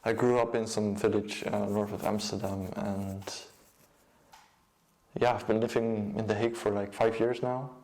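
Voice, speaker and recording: A young man with a Dutch accent, a music producer and DJ, giving a casual, low-key account of his work. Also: little pitch movement, moderate pace, a little mellow. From Netherlands.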